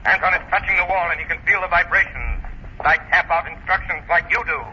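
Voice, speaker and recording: A man's voice: sits high, close to an everyday voice. Male actor as resolute unseen avenger in a vintage radio thriller, dramatic and commanding, on a dull, hissy recording. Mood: taunting. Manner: dramatic, commanding, deliberate enunciation. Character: unseen crime-fighting avenger, cool, taunting, resolute man. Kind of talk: old-time radio thriller acting. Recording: noisy muffled old radio broadcast.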